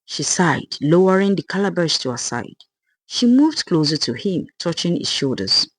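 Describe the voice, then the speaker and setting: A storyteller giving a dramatic, expressive reading of a scripted folktale. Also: mid-range voice, normal speed.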